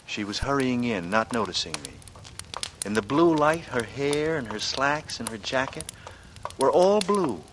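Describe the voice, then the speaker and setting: An actor playing a brooding, calculating American writer in a 1940s radio noir drama, with measured, intense delivery. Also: male voice, medium pitch, very animated, noisy background. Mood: reflective. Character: brooding, calculating male writer with a smooth charm. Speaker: lead actor and narrator. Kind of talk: 1940s radio noir acting, first-person narration. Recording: vintage radio drama, music underneath. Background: dramatic music.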